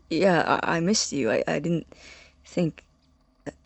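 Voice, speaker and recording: An earnest, awkward young man played by an actor in an audio drama. His voice is mid-pitched and recorded dry, with a polite, hesitant delivery. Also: slow pace, vocal fry, no room sound. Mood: flustered, tender.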